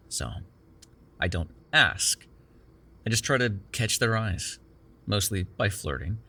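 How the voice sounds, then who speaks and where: A man's voice: on the low side, fairly bright tone, heavily acted. A man playing a sardonic, prickly but secretly caring vampire in an ASMR roleplay, with a teasing manner. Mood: wry, reluctant. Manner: teasing, sarcastic, breaking into exasperation and soothing murmurs.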